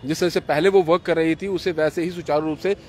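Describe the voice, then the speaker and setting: A man reports live from the roadside into a handheld mic. His voice sits a little high, and he talks in a wordy, repetitive, unscripted flow. Faint street and vehicle noise runs behind him. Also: fairly even intonation, fast. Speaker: field news reporter. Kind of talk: live on-the-spot reporting, unscripted piece to camera. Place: outdoors on a roadside by a gate and flyover. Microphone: handheld reporter's microphone. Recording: street traffic noise outdoors. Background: street ambience and vehicles.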